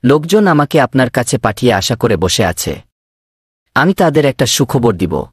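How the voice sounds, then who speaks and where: Actor as husky, expressive village man. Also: mid-range voice, moderate pace, no room sound, studio quality. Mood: pleading. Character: earnest, scheming village man pleading for wisdom. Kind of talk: animated cartoon dialogue.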